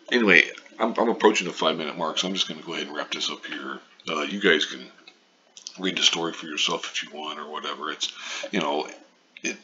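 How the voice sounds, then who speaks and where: A man's voice: rumbling low, pushed and bright. A man giving a casual, profane opinion rant as a video commentator, blunt and sarcastic in how he talks. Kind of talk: casual opinion rant over a news article. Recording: muffled lo-fi mic with faint noise.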